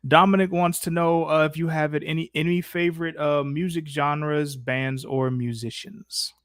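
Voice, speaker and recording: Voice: mid-range voice, steady tone, moderate pace, no room sound. Dry, close-miked casual Q&A host. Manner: informal, slangy, repeats phrases. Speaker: host taking audience questions. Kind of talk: casual live Q&A chat.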